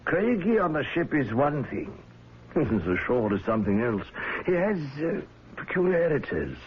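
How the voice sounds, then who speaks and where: An actor playing a gruff but genial middle-aged whaling fleet owner in a radio drama, avuncular and a little hesitant. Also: male voice, a little high, sing-song, unhurried, slight background noise. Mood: uneasy, confiding. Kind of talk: old-time radio drama acting. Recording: dull, lo-fi old radio broadcast.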